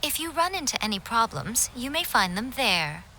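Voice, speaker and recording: Here is a poised, businesslike lady from a video game. Her voice is fairly high and bright, her manner polite and composed. There is faint ambience behind her. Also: big pitch swings, normal speed, slight background noise. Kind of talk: English game voice acting.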